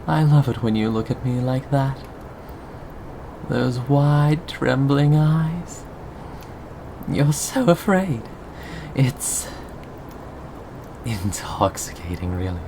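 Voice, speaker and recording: A performer of unclear sex playing a sadistic, possessive cannibal captor in an ASMR roleplay, lingering on words with mock tenderness and soft menace. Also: medium pitch, animated, slow pace, long pauses, background noise. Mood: menacing, gloating. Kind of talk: ASMR roleplay, scripted monologue to listener.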